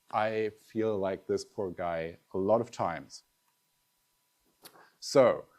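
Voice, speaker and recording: A middle-aged man whose first language is German, giving a lively, conversational tech conference talk and addressing the audience directly. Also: mid-range voice. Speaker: conference speaker.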